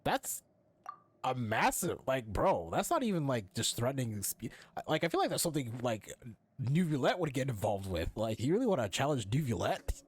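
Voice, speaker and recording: A young man giving casual gaming commentary, slangy, halting and exclamatory. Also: mid-range voice, sing-song, slightly rough. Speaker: streamer reacting to a game.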